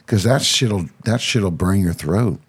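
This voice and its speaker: A man hosting a podcast in casual, rambling chat, his throat congested and his voice husky from a sinus cold. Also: sits low, lively intonation, unhurried. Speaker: podcast host.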